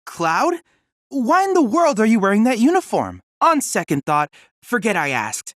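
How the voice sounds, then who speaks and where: This is a young android boy researcher in a video game, courteous, eager and bookish. The diction is formal and precise, with a lively, varied melody. The recording is dry and studio-clean, with no room sound. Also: high-pitched, moderate pace, dry recording, exaggerated voice. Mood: puzzled, polite. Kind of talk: scripted video game character dialogue.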